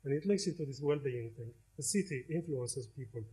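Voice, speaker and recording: Middle-aged man from Algeria, university lecture, conversational and halting, ordinary pitch. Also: lively intonation, poor audio. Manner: warm, conversational, with frequent hesitations and restarts. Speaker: professor giving a lecture. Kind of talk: inaugural academic lecture. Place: lecture hall.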